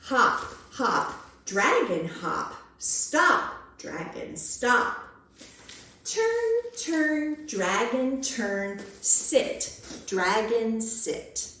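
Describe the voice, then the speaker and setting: Female children's storyteller, higher-pitched, very animated and sing-song, measured phrasing, in an echoey room. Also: slight background noise. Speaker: children's librarian leading storytime. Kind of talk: read-aloud picture book storytelling and action rhymes for children.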